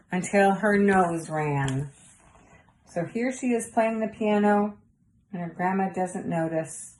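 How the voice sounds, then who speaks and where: A woman's voice: mid-range voice, slight background noise, rough recording. A woman reading a storybook aloud for children, with chatty asides to young listeners. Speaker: storybook reader. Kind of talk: read-aloud narration for children.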